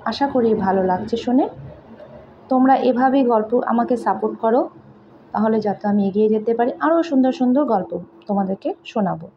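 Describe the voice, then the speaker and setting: A woman with a Bengali accent reading a moral story aloud to children in simple, deliberate phrases, like a teacher. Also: a little high, a little hiss or hum. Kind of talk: slow read-aloud moral story with translation. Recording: dull lo-fi home recording.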